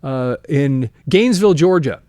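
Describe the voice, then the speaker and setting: A man's voice: a little high, normal speed, dry recording, clean studio sound. A man hosting a show and telling a story casually to his audience, acting out bits of dialogue as he goes. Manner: animated retelling, voicing quoted dialogue. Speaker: host and storyteller.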